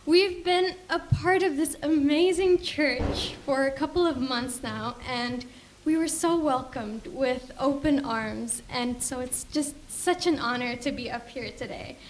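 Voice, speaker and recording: Young woman sharing her testimony at church, high voice, earnest, bit of faint background hum. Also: a little slow. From Indonesia. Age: young adult. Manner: earnest, sincere storytelling. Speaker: church member giving testimony. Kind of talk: personal testimony speech. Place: church service.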